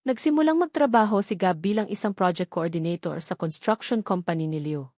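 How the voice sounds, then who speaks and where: A woman narrates a scripted love story in a dramatic storytelling style. Her voice sits at a medium pitch, recorded very dry and close, with no room around it. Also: a little fast, a little mellow, dry recording.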